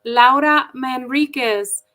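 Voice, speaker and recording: A woman with a high, bright voice runs an online forum and greets the viewers one by one in a warm, chatty way. She talks over a video call. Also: slow. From Milwaukee, United States. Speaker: forum moderator.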